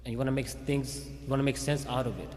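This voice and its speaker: A man speaks conversationally to a community audience in a hall, often restarting his sentences and checking in with "okay?". His voice is mid-pitched and carries a long reverb. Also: steady tone, fast, a little mellow. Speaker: police chief speaking at a community event. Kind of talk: informal faith talk to a community audience. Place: Islamic center hall.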